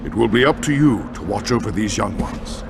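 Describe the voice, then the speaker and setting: A man's voice: lowish voice, lively intonation, a little fast, vocal fry, noisy background. A man playing a solemn, protective armored bear warrior in a video game cutscene, speaking with grave command.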